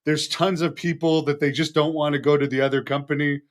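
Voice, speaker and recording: A man's voice: on the high side, level tone, brisk. A man hosting an interview, eagerly chatting and filling in facts. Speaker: host. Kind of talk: casual interview chat.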